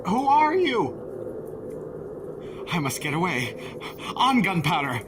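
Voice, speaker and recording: A man's voice: high voice, wildly swooping pitch, slow pace, heavy background noise, heavily acted. A man playing a timid, superstitious, fussy schoolmaster on horseback in an audio drama, talking nervously and theatrically to himself and his horse. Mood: frightened. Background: horse hooves and night ambience.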